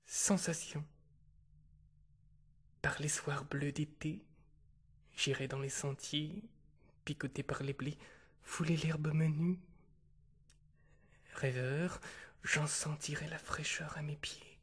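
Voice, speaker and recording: Hushed, breathy whispered poetry reader. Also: mid-range voice, fairly even intonation, slow pace, long pauses. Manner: hushed, half-whispered delivery.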